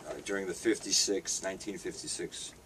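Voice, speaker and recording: Hesitant low-voiced man in his fifties. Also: level tone, a little fast, bright, forceful tone. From Hungary. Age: 50s. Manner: hesitant, many fillers and restarts. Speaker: street paper vendor and artist. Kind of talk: interview answers. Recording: distant mic with faint background noise.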